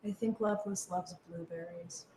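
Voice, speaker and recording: A young woman chats loosely and playfully, giggly and fond of filler words. Her voice carries the clear echo of a roomy space. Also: lowish voice, fairly even intonation, normal speed, mellow, slight background noise. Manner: playful, giggly, frequent 'like'. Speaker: cast member answering questions. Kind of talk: casual chat. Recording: roomy, echoey room.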